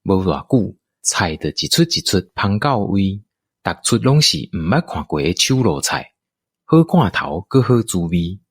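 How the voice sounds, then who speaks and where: A male narrator tells a radio-play story in a Taiwanese accent. His low, slightly husky voice moves in lively swings of pitch, close and dry. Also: measured pace, dry recording. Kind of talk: scripted radio drama storytelling.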